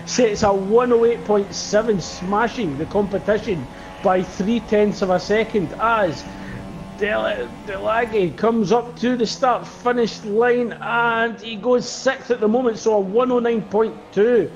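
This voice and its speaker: A man giving play-by-play commentary on a sim race, calling lap times in a steady, measured way. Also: high-pitched, highs cut off, over music. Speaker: lead commentator.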